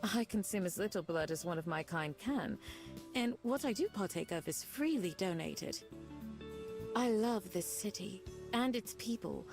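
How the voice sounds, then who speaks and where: A refined and gracious vampire hostess, formal in her phrasing and kindly in temper. Her delivery swings expressively, as in a visual novel, over soft background music. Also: mid-range voice, lively intonation, measured pace, vocal fry. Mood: gracious, proud. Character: genteel, kindly female vampire who keeps bats, hospitable. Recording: music faintly behind the voice.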